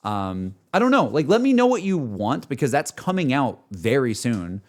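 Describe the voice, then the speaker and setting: A male game streamer chats off the cuff in a middle-pitched voice. He rambles candidly, working through his thoughts aloud as he addresses his viewers in loose, everyday speech. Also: sing-song, normal speed. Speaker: game streamer talking to chat. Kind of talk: casual livestream chat, unscripted.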